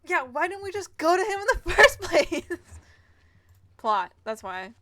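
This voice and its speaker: A woman's voice: very high-pitched, sing-song, unhurried, fairly bright tone, no room sound. A woman game streamer giving casual live playthrough commentary, with wry, giggly asides.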